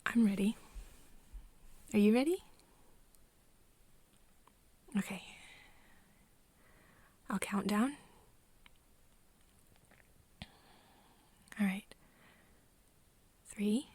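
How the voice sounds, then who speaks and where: A woman acting as a caring, teasing young woman in an intimate ASMR roleplay. Her voice is breathy and soft, often slipping toward a whisper. She talks slowly and hesitantly in a close, dry recording, gently coaxing her friend. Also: medium pitch, no echo. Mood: gentle, reassuring.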